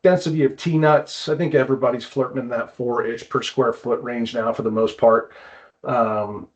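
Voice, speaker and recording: Man explaining things haltingly, slightly dull audio. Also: medium pitch, steady tone. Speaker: guest, climbing wall builder and consultant. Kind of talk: casual interview conversation, explanatory.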